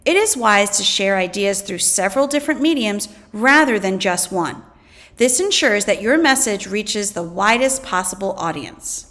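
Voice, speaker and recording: A woman, a teacher reading scripted educational text aloud in an expressive way. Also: medium pitch, normal speed. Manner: expressive, acts out quoted slogans.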